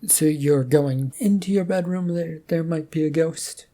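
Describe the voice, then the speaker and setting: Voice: mid-range voice, unhurried, mellow, voice pushed up, natural voice. A narrator voicing a teasing, curious woman friend in a story, talking in a casual, blunt way. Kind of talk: amateur story reading in character.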